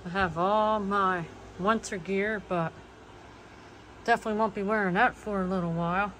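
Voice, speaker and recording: Brief: twenties woman, plain and matter-of-fact vlogger, phone audio with steady household hum. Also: medium pitch, normal speed, low-quality recording. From eastern United States. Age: 20s. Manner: plain, matter-of-fact, listing things as she walks. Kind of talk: casual house tour. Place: small manufactured home, indoors. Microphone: phone camera, front-facing then walking.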